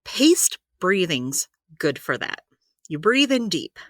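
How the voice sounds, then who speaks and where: A practical woman healer in an audio drama, her voice bright and very expressive. She explains things at an unhurried pace, brisk in temper and given to wry, exasperated asides. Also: fairly low pitch, no echo, clean studio sound, exaggerated voice. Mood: explaining. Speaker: healer giving therapy advice. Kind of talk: audio drama dialogue, conversational.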